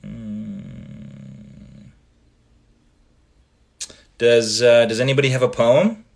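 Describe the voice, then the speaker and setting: A man from Canada whose first language is Italian, hosting a casual livestream, reading fan comments aloud in a relaxed way with many ums and trailing pauses. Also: mid-range voice, gravelly. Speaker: livestream host.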